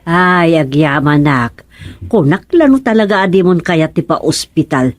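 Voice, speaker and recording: An actress with an Ilocano Filipino accent playing a blunt, needling village wife who asks pointed questions in a radio comedy drama. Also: female voice, low voice, expressive, a little slow, dry recording. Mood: reproachful, caring.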